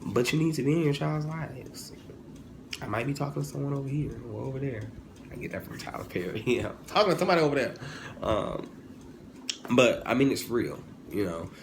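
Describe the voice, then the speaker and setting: A man's voice: medium pitch, a little fast. Male vlogger, 20s. Informal, digressive, humorous rant with wide intonation; noisy ambient recording. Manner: animated, informal, digressive, jokey, with exclamations and self-corrections. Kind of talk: casual, humorous opinion monologue. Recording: clearly noisy, ambient background sound.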